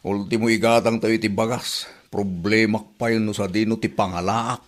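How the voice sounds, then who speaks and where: A man's voice: lowish voice, steady tone, normal speed, close to an everyday voice. A man with an Ilocano accent playing a husband with a house too big for his means in a comedy radio drama. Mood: worried.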